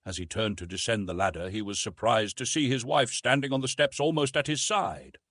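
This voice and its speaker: A man's voice: fairly low pitch, fairly quick, a bit bright, no room sound, studio quality. A man reading a classic adventure novel as a scripted audiobook narration.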